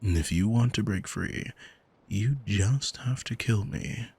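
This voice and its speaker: A man playing an arrogant demon in an ASMR audio drama, smug and teasing, speaking deliberately and intimately to the listener with a husky voice, close on the microphone. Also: fairly low pitch, darkish tone, heavily acted. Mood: smug, controlling. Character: arrogant male demon who owns a soul, smug, taunting. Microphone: close studio microphone, dry.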